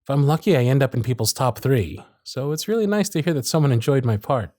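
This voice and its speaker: A man playing a charming, gentle circus magician who is smitten and privately anxious, speaking tenderly and softly in an ASMR romance roleplay. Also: medium pitch, quick pace, dry recording, studio quality, natural voice. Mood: warm, charming. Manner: soft-spoken, flattering, tender, with hushed asides. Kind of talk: ASMR romance roleplay with intimate inner monologue.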